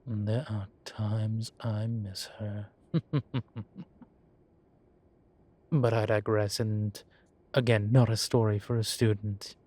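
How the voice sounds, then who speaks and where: A man playing a composed, teasing demon professor in a roleplay audio, speaking in a formal, measured and wry way. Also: on the low side, little pitch movement, dark tone. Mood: wistful, wry. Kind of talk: scripted roleplay audio, one side of a conversation.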